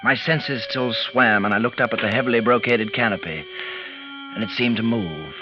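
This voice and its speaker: A man performs an uneasy storyteller in an old radio suspense play. His delivery is deliberate and dramatic, at a medium pitch. Music plays behind the dull vintage recording. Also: lightly acted. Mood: uneasy, dazed. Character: tense, uneasy man narrating his own frightening night. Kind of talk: 1940s radio drama, first-person narration.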